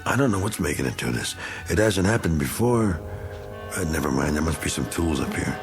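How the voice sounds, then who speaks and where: An actor playing a bitter, taunting American war veteran in a radio drama, with a gruff, sarcastic manner and an American accent. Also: male voice, rumbling low, big pitch swings, fairly quick, music in the background. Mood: practical, wary.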